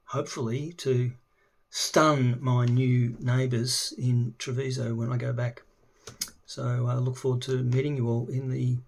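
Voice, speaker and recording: A middle-aged man with an Australian accent chats loosely about himself, stopping often to hunt for words and filling the gaps with ums. His voice sits at a middling pitch and carries a gravelly rasp at the ends of phrases. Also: a little mellow. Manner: hesitant, many ums and restarts. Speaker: language learner. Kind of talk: casual self-introduction video.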